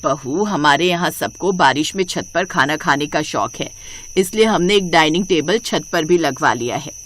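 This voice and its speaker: Casting: a woman playing an older, breezy matriarch, low in pitch, bossy and admonishing, for a cartoon family tale. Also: lively intonation, normal speed, slight background noise. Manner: matronly, admonishing. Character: older village mother and mother-in-law, breezy, bossy. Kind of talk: animated Hindi storybook dialogue.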